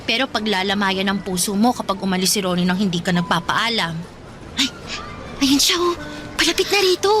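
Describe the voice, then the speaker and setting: An actress playing a lively, teasing teenage cousin who coaxes and bosses her shy cousin in a radio drama. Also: mid-range voice, a little fast, noisy background, natural voice. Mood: coaxing. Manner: lively, coaxing, bossy. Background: music underneath.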